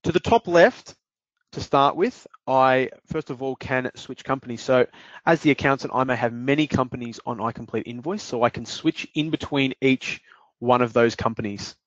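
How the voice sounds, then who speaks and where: Man, software demo presenter, steady explanations, lo-fi dry recording. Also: mid-range voice, normal speed, no room sound. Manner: steady explanatory delivery with occasional fillers. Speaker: product presenter. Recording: dull dry lo-fi audio.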